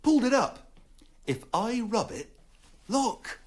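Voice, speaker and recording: A man's voice: extremely high pitch, sing-song, slow pace, heavily acted. A man playing an eager young English police constable in a radio drama, reporting in clipped, deferential phrases.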